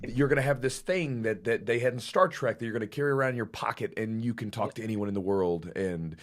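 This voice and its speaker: A slightly hoarse man hosting a podcast, chatting casually and rambling with lots of fillers. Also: on the low side, fast. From Louisville, Kentucky. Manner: rambling, animated storytelling with many fillers. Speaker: podcast host.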